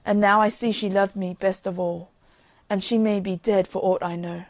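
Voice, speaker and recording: A woman's voice: medium pitch, steady tone, normal speed, dry recording, natural voice. Woman reading as a sorrowful, regretful father. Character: grieving, regretful father of a grown daughter.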